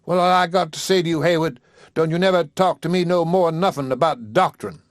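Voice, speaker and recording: Guy putting on a sputtering mad churchman, way high and shrill, dry sound. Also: brisk, no room sound, close to an everyday voice. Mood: outraged. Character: angry, defeated male rival churchman.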